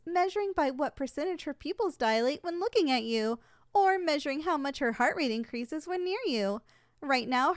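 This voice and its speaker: Female actor as polite, unflappable saleswoman for an audio roleplay; high, very animated voice, formal sales patter. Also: dry recording, studio quality. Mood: polite, businesslike. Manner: formal, courteous, matter-of-fact about danger. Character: polite, unflappable saleswoman giving a yandere shop tour.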